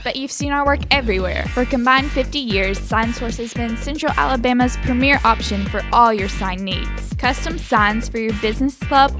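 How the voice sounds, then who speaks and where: Female ad read, upbeat, higher voice, music bed. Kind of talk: scripted ad read.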